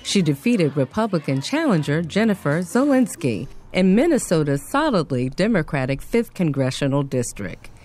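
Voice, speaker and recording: Low voiced woman doing news voiceover, lots of swing in her tone. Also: big pitch swings, moderate pace, few pauses, a little mellow. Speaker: news reporter. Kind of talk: scripted news narration.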